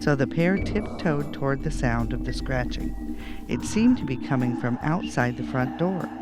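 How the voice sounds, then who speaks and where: A man reading a children's bedtime story aloud in an animated storytelling style. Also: mid-range voice, normal speed, over loud music. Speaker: storybook reader.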